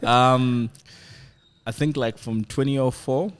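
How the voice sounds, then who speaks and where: A man from Zambia, a guest on a podcast, talking casually and thoughtfully with many pauses and restarts. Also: mid-range voice, fairly even intonation, clean tone, dry recording.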